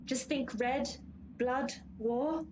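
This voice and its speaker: A woman's voice: high voice, fairly even intonation, very slow, darkish tone, a little hiss or hum. A woman playing a pompous, long-suffering horse of Pestilence in a comic radio play, lecturing and exasperated. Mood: brisk, pompous. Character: haughty, long-suffering female horse of Pestilence, bossy explainer.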